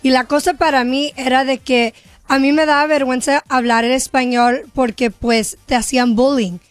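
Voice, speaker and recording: High-voiced bilingual woman on radio. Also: fairly even intonation, normal speed, dry recording. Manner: earnest, encouraging, mixes in English words. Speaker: radio co-host. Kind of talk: casual radio banter.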